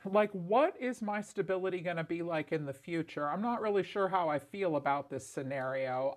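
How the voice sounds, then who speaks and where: Congested raspy woman reading tarot. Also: on the low side. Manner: chatty, frequent "like" fillers. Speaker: tarot reader.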